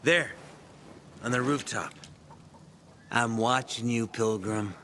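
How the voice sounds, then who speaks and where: An actor playing a gruff, boastful, hard-drinking young male fighter in a video game, talking brashly and suspiciously. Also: mid-range voice, takes it slowly, fairly bright tone, a little hiss or hum.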